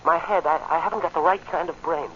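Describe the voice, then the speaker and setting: An actor playing an earnest, troubled young American farm man, halting and agitated, in old-time radio drama style. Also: a little high, fairly bright tone, close to an everyday voice. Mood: weary unease. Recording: muffled, hissy old radio recording.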